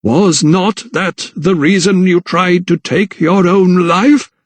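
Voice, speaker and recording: A man's voice: sits high, fairly even intonation, no room sound, pitched above the performer's own voice. A man voicing a sinister, condescending elderly vicar, a religious fanatic, in an audiobook. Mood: sanctimonious. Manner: slow, sanctimonious, unctuous. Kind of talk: dramatised audiobook character dialogue.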